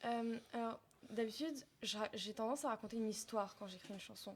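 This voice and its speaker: A young woman from Lebanon, a singer-songwriter, answering interview questions softly and searching for her words. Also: fairly high pitch, fairly quick, slight background noise. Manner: soft-spoken, searching for words, trailing off.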